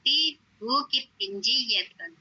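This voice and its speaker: A woman's voice: a little high, expressive, takes it slowly, bright, forceful tone, husky, muffled recording. A woman Arabic teacher, patiently explaining in an online class with many fillers.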